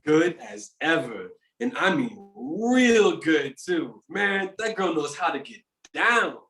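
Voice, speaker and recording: A man's voice: a little high, sing-song, slow pace, fairly bright tone, heavily acted. An actor at a table read playing a cocky young man who talks in laid-back slang. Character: cocky young man, boastful party guy. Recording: echoey, from a distance.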